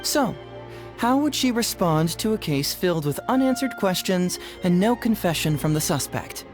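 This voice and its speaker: A confident young detective from a video game. He talks in a smooth, teasing, glib way, laying out his reasoning with wry assurance, while music plays clearly behind him. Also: sits high, expressive, moderate pace. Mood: explaining, confident. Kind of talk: English video game voice acting. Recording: studio voice under game music. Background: game background music.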